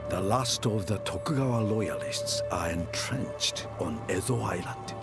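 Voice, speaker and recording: A man acting as the solemn narrator of a historical strategy game, telling a war chronicle in a measured, grave, dramatic way. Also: fairly low pitch. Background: prominent music.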